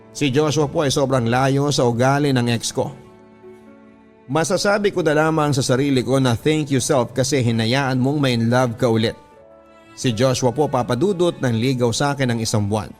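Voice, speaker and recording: A man with a Filipino accent reading a letter as scripted first-person radio drama narration, in an earnest, sentimental, polite storytelling manner. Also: medium pitch. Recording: music bed under the voice. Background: background music.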